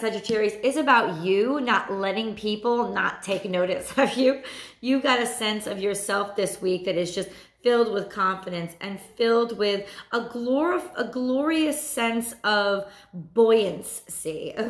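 A woman in her thirties with a hoarse voice, giving a chatty weekly tarot reading to a webcam at home. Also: mid-range voice, reverberant. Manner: animated, repeats phrases, chatty asides. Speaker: tarot reader. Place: small room at home.

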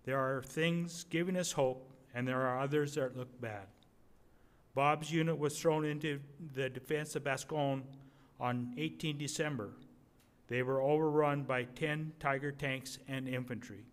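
Older male, medium pitch, reader playing earnest soldier, steady, wartime letter, readers theater. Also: unhurried, close to an everyday voice. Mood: grave, steady. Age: 60s or older. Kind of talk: reading war letters aloud. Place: indoor museum gallery at a podium. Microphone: podium microphone.

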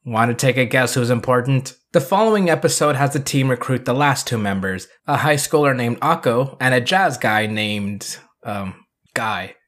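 A man's voice: medium pitch, lively intonation, moderate pace, a bit bright. A man with an American accent hosting a scripted retrospective, with wry, self-aware joking and casual asides. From United States. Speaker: video essay host.

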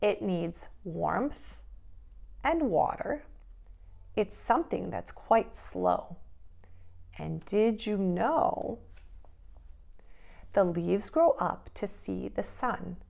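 Woman, middle-aged, preschool teacher, storybook style, very unhurried, gravelly fry in the voice. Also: mid-range voice. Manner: expressive, deliberate, addressing young children. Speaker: preschool teacher reading aloud to children. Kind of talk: children's storybook read-aloud and simple hands-on instruction.

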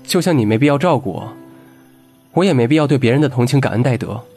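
A young man acting an aloof, guarded high school boy with a curt manner in a Chinese audio comic drama. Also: animated, fast, a little mellow, faint music behind, lightly acted. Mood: cold, rebuffing.

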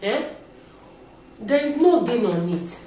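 A young Nigerian woman speaks to the camera from her sofa with lively gestures, repeating words and slipping into Pidgin. Her voice is set back from a distant mic and rings around a very echoey room. A faint hiss sits under it. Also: fairly high pitch, slow pace. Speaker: YouTuber. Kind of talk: casual opinion vlog to camera. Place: indoors, living room with a sofa.

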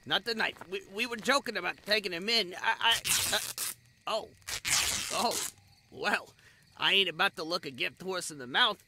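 A man's voice: high-pitched, normal speed, pauses often. A man voicing a nervous, opportunistic bandit sidekick in a fantasy audio roleplay, stammering and folksy. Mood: nervous, then smug. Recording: noisy, with night ambience behind.

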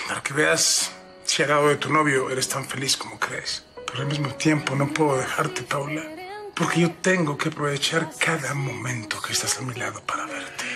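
A man's voice: on the high side, natural voice. An actor around forty playing a brooding, tender man in love, with a Mexican accent, in a telenovela. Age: 30s to 40s. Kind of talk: telenovela acting. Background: music.

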